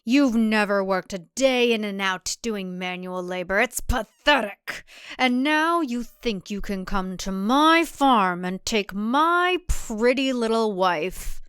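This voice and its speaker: A woman's voice: mid-range voice, lively intonation, slow pace, fairly bright tone, smooth. A woman voicing a condescending, menacing male cult leader and husband in a thriller audio drama. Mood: contemptuous. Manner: condescending, mocking, self-righteous.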